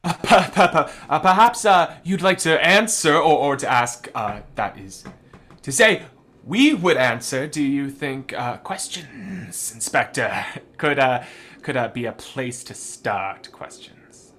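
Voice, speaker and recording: An actor playing a nervous young suitor in a 1920s radio mystery, stammering and full of hesitations. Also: male voice, mid-range voice, big pitch swings, takes it slowly, a bit bright, heavily acted. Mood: flustered. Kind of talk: 1920s radio drama acting.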